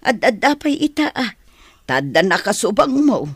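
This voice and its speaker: A woman's voice: fairly high pitch, very animated, normal speed, breathy edge, no echo. A woman with a northern Philippine accent playing a worried, fretful older village mother in a radio comedy drama.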